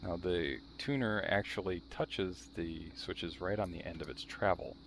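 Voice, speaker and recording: A man's voice: sits low, steady tone, a little slow, vocal fry, a little hiss or hum. A man narrating a how-to demonstration in a dry, matter-of-fact, wry way. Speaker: video host showing a restored vintage radio.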